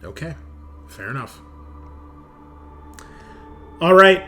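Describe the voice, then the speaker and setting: A man narrating a tabletop roleplaying game as game master, describing scenes in a deliberate way. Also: a little high, big pitch swings, fairly bright tone, soft background music.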